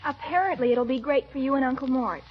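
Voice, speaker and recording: A woman's voice: high voice, quick pace, natural voice. A woman playing a stubborn teenage niece who talks back, in a 1940s radio sitcom. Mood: defiant. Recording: dull 1940s radio broadcast.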